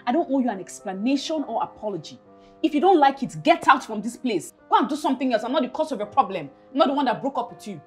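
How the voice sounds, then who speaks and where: A woman's voice: a little high, sing-song, rapid-fire, few pauses, faint music behind. An actress with a Nigerian accent playing a feisty, combative young woman in a film drama. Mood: defiant. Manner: combative, indignant, sharp-tongued. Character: feisty, fed-up young woman bickering with her flatmate.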